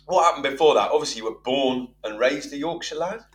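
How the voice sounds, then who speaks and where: A man's voice: mid-range voice, fast. Chatty male podcast host, roomy sound. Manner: chatty, friendly, laughs easily. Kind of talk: casual interview chat. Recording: roomy, distant mic with faint hum.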